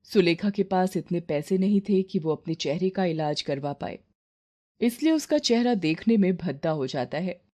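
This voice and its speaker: A woman's voice: lowish voice, animated, normal speed, dark tone. A woman reading a scripted moral story as its narrator.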